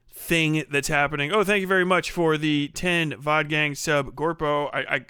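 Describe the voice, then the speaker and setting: A man hosting a livestream in casual, rambling, sweary chat, with an animated way of talking into a close mic. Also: a little high, smooth, dry recording. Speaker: livestream host.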